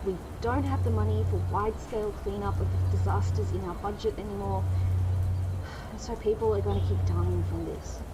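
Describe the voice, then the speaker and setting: An actress playing a fed-up, principled office worker in an audio drama, speaking bluntly and earnestly. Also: female voice, medium pitch, brisk, noisy background, lightly acted. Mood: fed-up, grave.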